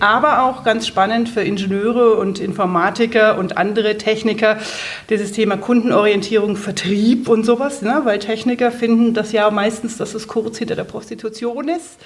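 Woman, Bavarian accent, blunt and earthy, laughs easily, interview guest. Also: mid-range voice. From Munich, Bavaria. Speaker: guest, negotiation coach. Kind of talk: casual interview talk.

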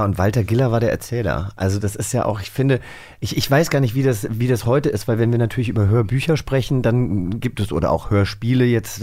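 Low-voiced man chatting casually. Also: darkish tone. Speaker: host. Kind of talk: casual interview chat.

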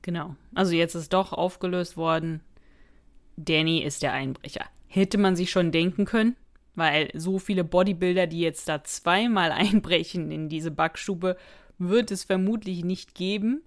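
A woman's voice: fairly low pitch, normal speed. A woman co-hosting a podcast, commenting casually and conversationally on a story. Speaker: podcast co-host. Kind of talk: casual chat, commenting on an audio drama.

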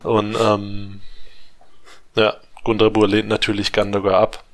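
A man recaps a fantasy novel chapter for a casual podcast, stopping often to restart his thought. His voice sits low and stays fairly flat, with little rise or fall. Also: a little slow. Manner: rambling recap with many fillers and restarts. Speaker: podcast co-host summarizing a book.

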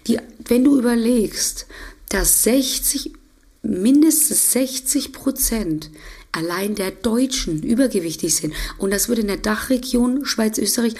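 A woman with a German accent hosting her podcast as an intuitive eating coach, slightly hoarse from a cold, chatty and often restarting her sentences. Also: fairly high pitch, lively intonation, unhurried, a little mellow. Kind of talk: casual solo podcast talk.

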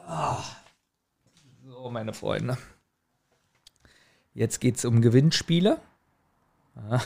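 A man's voice: medium pitch, vocal fry, a little mellow. A man talking casually as a podcast co-host, with a dry, self-deprecating manner.

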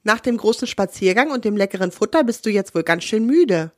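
A hoarse woman voicing a patient mother in a children's story. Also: medium pitch, expressive, a little fast, no room sound, studio quality, natural voice. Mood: fond. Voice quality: hoarse from a cold. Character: patient mother, gently scolding.

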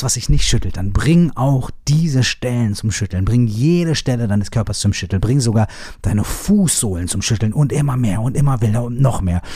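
A man leading a guided shaking meditation, giving repetitive instructions in a soft, partly whispered voice, with some casual swearing. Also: mid-range voice, no echo, studio quality. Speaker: movement exercise guide.

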